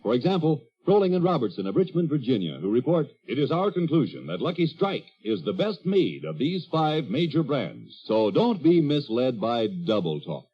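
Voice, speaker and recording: A man reading a 1940s radio advertisement as an announcer, with an emphatic, deliberate, husky sales delivery. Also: medium pitch, very animated. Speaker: commercial announcer. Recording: dull old 1940s radio recording.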